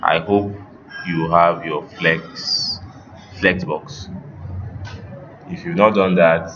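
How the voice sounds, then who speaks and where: Slow, very deep-voiced male instructor. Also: steady tone, lo-fi sound, over music. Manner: instructional, directing students, checking on them. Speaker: web development instructor. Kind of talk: step-by-step live coding lesson.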